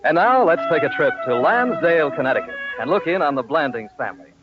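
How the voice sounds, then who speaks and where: A male narrator of an old radio comedy, fairly high in pitch and very lively in his scripted delivery. The recording is dull, with faint noise. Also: quick pace, over loud music.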